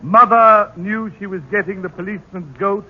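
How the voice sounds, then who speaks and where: An actor playing an eccentric comic poet in a 1940s radio comedy, pompously declaiming silly rhymes. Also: male voice, sits high, fairly even intonation, normal speed, close to an everyday voice. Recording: dull, muffled 1940s radio broadcast.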